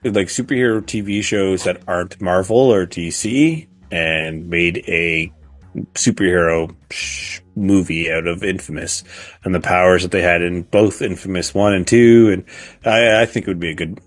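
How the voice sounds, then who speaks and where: A middle-aged man co-hosting a casual podcast, speaking haltingly as he searches for words. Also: low voice, no room sound. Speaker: podcast co-host. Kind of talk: casual chat about video games.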